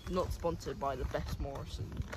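A man, the host's son, chiming in with jokes and quick remarks on an outdoor walk. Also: on the high side, steady tone. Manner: joking, quick interjections. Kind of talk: casual chat. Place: outdoors in winter woodland. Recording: wind noise on an outdoor mic.

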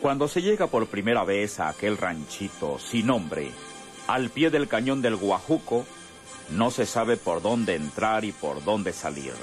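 A male narrator reads a radio drama in a low voice. His delivery is dramatic and very varied in pitch, with music faintly behind it. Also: big pitch swings, measured pace.

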